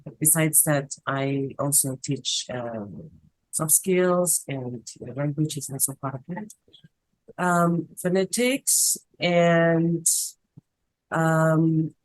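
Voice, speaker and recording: A woman's voice: low-pitched, drawn-out words, no echo, low-quality recording. A woman teacher and research coordinator giving a formal, polished introduction.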